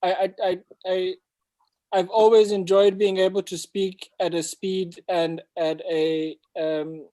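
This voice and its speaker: A man with a mellow, relaxed voice talks casually on a video call. He is reflective and halting, circling back over words as he forms a thought. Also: sits high. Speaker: host and storyteller.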